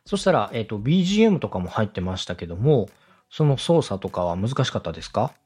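Male podcast host, husky and very animated in intonation. Polite, encouraging interviewer and adviser. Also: on the low side, fast. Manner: courteous, encouraging. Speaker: podcast host, radio director. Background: background music.

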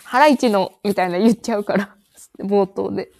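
A woman's voice: mid-range voice, fairly even intonation, moderate pace, darkish tone, no echo. A woman in her thirties chatting casually as a podcast co-host. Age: 30s. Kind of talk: casual chat.

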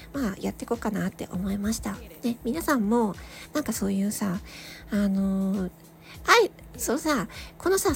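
A woman hosting a solo audio show, chatting casually and reflectively to listeners, thinking aloud with hesitant pauses. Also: fairly high pitch, vocal fry, a little mellow. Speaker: solo audio channel host, amateur voice actor.

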